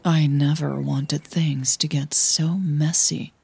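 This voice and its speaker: A woman voicing an earnest, cautioning female coach in an audio drama, measured and persuasive. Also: low-pitched, steady tone, gravelly, a little mellow, husky, no room sound, soft background music, heavily acted. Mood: apologetic.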